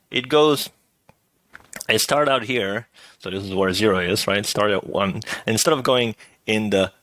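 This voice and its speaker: A lower-voiced middle-aged man with a touch of hoarseness, teaching university math from home. He talks in a relaxed, meandering way, pausing on fillers and tossing in "right?" as he works through each idea. The sound is dry and close, with no room around it. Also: dry recording. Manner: relaxed, thinking aloud with many "uh" and "right?". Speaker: math professor. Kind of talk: recorded lecture over slides.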